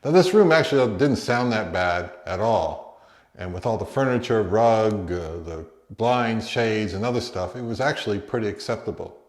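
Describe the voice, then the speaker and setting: A middle-aged man narrating a hi-fi vlog in a conversational, measured, explanatory way. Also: lowish voice, lively intonation, reverberant. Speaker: hi-fi YouTuber. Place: indoors in a home room.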